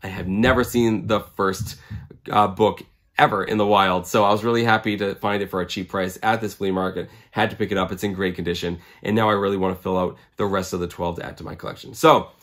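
A man in his forties with a lowish voice that is a little hoarse from a cold. He talks to camera like a hobby vlogger, conversational and keen, in a casual flow. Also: fairly even intonation, a little fast, fairly bright tone. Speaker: comic collecting video host. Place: small indoor room lined with comics.

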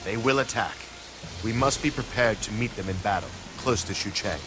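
A man's voice: on the low side, moderate pace, music in the background, lightly acted. An actor playing a proud, bold middle-aged warlord and father in a video game, declamatory and commanding. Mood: stern. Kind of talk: dramatic English video game cutscene acting.

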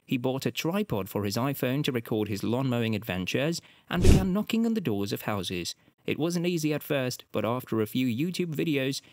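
A man's voice: mid-range voice, a little mellow, dry recording. Dry, close-miked male voiceover narrator. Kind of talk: scripted documentary voiceover.

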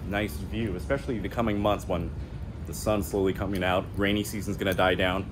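A man's voice: sits low, fairly even intonation, fairly quick. A man in his thirties, a vlog host, talking to camera in a casual, upbeat way while walking around outdoors. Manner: chatty, upbeat, talks with his hands. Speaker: vlogger host. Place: outdoors on a city plaza and rooftop. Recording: outdoor street noise behind. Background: traffic and city ambience.

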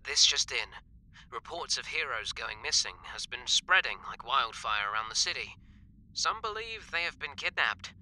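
A man voicing a formal, measured news reporter reading a dramatic scripted bulletin in an audio drama. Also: medium pitch, animated, pushed and bright, low-quality recording. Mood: serious. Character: male news reporter giving a breaking bulletin.

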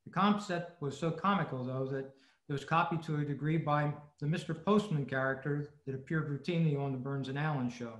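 A man's voice: a little high, fairly quick, highs cut off. A man reading scripted narration as a presenter at a club meeting over a video call. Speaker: presenter at an old-time radio club meeting. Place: indoor room, group video call. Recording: echoey room on video call.

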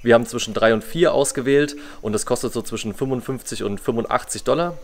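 A man's voice: medium pitch, fast talker. A young man telling a story casually as a travel vlogger, speaking to a camera on a covered terrace. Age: young adult.

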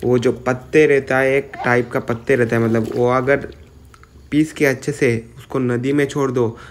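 A man's voice: mid-range voice, flat delivery, normal speed, a little hiss or hum. A young man vlogging outdoors by a river, rambling with many filler words as he explains what is happening. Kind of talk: casual vlog narration.

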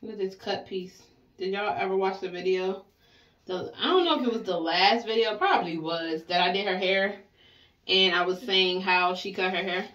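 A woman's voice: medium pitch, moderate pace, fairly bright tone. Blunt woman in a roomy, muffled recording. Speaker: mother and family vlogger styling her daughter's hair. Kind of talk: casual chat. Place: small room at home, indoors. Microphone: camera or phone a short distance away. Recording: roomy, muffled, distant, faint noise.

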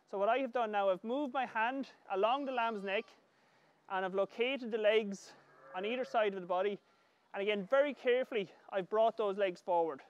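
A middle-aged man with an Irish accent giving calm, measured hands-on instructional commentary as an agriculture professor. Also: high voice, highs cut off. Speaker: agriculture professor demonstrating lambing. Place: large open livestock shed with straw pens.

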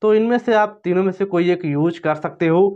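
Higher-pitched man giving a tutorial. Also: brisk. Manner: repetitive, instructional phrasing. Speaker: phone tutorial presenter. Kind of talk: informal step-by-step tutorial narration. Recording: dull, lo-fi voice-over.

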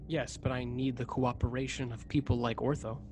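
A man's voice: mid-range voice, flat delivery, a little fast, a little mellow, faint music behind, natural voice. A man playing a reserved, hesitant young ensign on a starship in a radio play. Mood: reluctant. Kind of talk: radio play acting over video call. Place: dark indoor room. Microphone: desk microphone, headphones, video call. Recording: background noise on video call.

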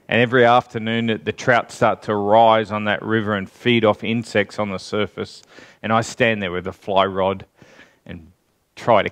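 A man in his forties with an Australian accent, preaching a sermon in a large church hall, chatting with the congregation and stressing key words slowly. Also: lowish voice, a bit bright. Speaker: pastor.

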